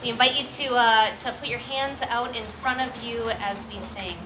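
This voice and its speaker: A middle-aged American woman speaks as a pastor in a noticeably high voice. She addresses her congregation in an informal, chatty way, projecting to the group through a microphone at an outdoor church gathering. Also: normal speed. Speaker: pastor leading a church service.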